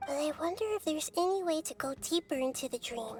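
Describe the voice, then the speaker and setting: A woman voicing a gentle, wise young girl deity in a game, speaking calmly and thoughtfully. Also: high-pitched, a little fast. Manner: calm, thoughtful, softly cautioning. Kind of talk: game cutscene dialogue. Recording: game audio with music bed.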